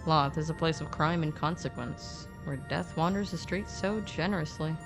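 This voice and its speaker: A woman's voice: low-pitched, normal speed. A woman narrating a scripted fantasy story podcast, with conversational asides. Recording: background music under voice.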